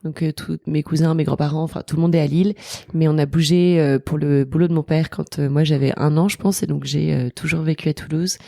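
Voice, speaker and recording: A woman's voice: low-pitched, steady tone, a little fast, gravelly, mellow, no echo, studio quality. A French woman in her thirties, a guest chatting casually in an interview, hesitant with many fillers and frequent laughs. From France. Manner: hesitant, lots of fillers and restarts, laughs often. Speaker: podcast guest.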